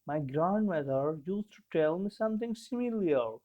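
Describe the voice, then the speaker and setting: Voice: medium pitch, expressive, normal speed, phone-quality sound, close to an everyday voice. Curious, thoughtful storybook boy voiced by a narrator. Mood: curious, wondering. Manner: wondering, soft-spoken.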